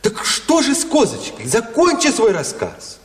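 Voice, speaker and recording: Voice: mid-range voice, huge pitch swings, a little slow. A performer playing a childlike, simple-minded boy, dreamy and teasing, in a verse radio play. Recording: long hall reverb.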